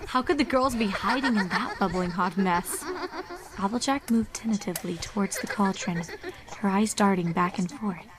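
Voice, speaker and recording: A woman's voice: medium pitch, moderate pace, background noise. A woman reading horror fan fiction as a dramatic scripted narration.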